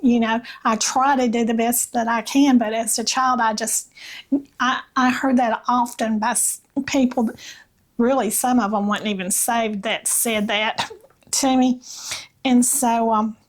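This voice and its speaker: Woman, Southern US accent, fairly high pitch, unhurried slow pace, slight rasp, halting, casual devotional talk. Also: steady tone. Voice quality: slightly raspy. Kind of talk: casual devotional chat with read-aloud passages.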